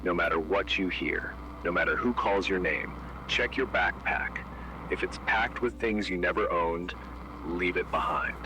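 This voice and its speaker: A man voicing a grim emergency broadcast announcer who gives flat, ominous, commanding warnings. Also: deep voice, measured pace, crowd noise, low-quality recording. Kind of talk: analog horror warning broadcast.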